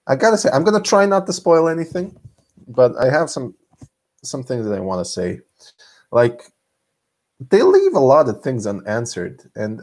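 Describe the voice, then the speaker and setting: A young man from Serbia with a Serbian accent, telling stories in a casual solo vlog, restarting often and swinging his pitch up and down. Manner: animated, frequent restarts and laughs, pitch swinging widely. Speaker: vlogger host. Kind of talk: casual monologue storytelling.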